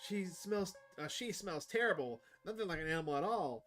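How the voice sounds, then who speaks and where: A man voicing a fastidious, wary talking pet dog in a horror game, with a prim and disgusted manner. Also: high-pitched, expressive, a bit bright, soft background music, pitched above the performer's own voice.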